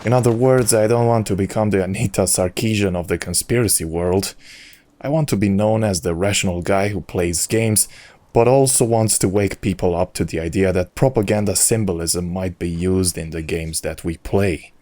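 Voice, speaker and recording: A man's voice: deep voice, normal speed, dark tone. A man whose first language is not English, hosting a solo audio log and giving opinionated commentary in a ranting way. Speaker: YouTube channel host.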